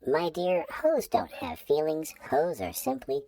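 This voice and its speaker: A man's voice: fairly high pitch, sing-song, a little slow, gravelly, dark tone, slight background noise, voice pushed up, heavily acted. A man voicing a grumpy little male garden gnome, curt and grumbling, in a comic story reading. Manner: curt, grumbling, disdainful of humans.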